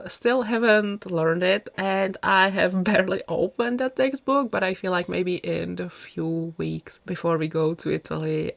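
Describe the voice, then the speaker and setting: A woman from the chats casually in a voice-over. Her voice sits fairly low with a noticeable creak. The sound is dry, with no room around it, and she rambles along as a video creator talking to viewers. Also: no room sound. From Czech Republic. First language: Czech. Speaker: video creator narrating a game build.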